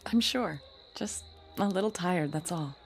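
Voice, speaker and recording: An actress playing a guarded young bride-to-be in a radio drama, gentle but quietly resolute. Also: female voice, lowish voice, unhurried, vocal fry, husky, soft background music, heavily acted. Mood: subdued, evasive. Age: young adult.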